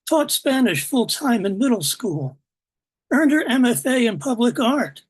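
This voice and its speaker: A woman reading her own poem aloud in a measured, deliberate way over a video call. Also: mid-range voice, husky. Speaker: poet reading at open mic. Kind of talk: poetry reading.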